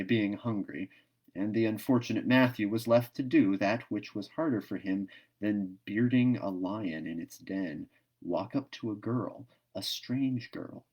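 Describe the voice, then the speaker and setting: A man's voice: on the low side, darkish tone. A man narrating a classic novel as a storyteller reading aloud.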